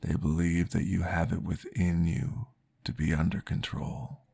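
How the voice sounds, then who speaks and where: A man playing a calm, courteous vampire therapist in an ASMR roleplay, guiding gently and formally in a breathy, gravelly voice. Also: deep voice, heavily acted. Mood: soothing, reassuring. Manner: slow, gentle, formal, soothing guidance.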